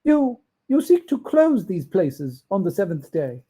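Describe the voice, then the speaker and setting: Man acting an earnest old miser, husky and raised in pitch. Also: big pitch swings, a little slow, dark tone, exaggerated voice. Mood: earnest, challenging. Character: old miser questioning a spirit, earnest and challenging.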